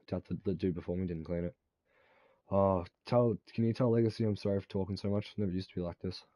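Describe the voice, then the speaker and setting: A man's voice: on the low side, mellow, no echo. A man in his twenties, drunk, rambling crudely and swearing while he plays a game and chats. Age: 20s. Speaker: game streamer. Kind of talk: casual chat while gaming.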